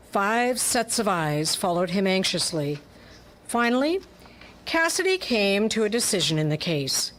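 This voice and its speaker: Female storyteller, scripted radio drama narration. Medium pitch, measured and slow pace.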